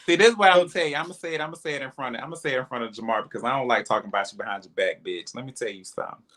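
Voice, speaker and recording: Man, hoarse, sassy, profane, bright tone. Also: medium pitch, racing. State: hoarse, recovering voice. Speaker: singer promoting his single. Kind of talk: casual panel chat.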